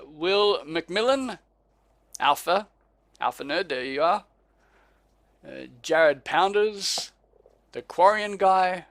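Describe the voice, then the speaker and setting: An Australian man co-hosting a casual podcast, talking slowly and easily and chuckling over names he can't quite pronounce. His voice sits a little high for a man and sounds dry and close to the mic. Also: gravelly, a bit bright, dry recording. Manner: laid-back, chuckling, stumbles over names. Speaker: podcast co-host. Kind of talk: casual chat.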